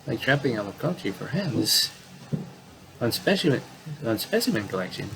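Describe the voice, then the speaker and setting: A man's voice: mid-range voice, very animated, normal speed, background noise. A man doing casual game commentary, reading visual novel dialogue aloud and often stumbling and repeating phrases.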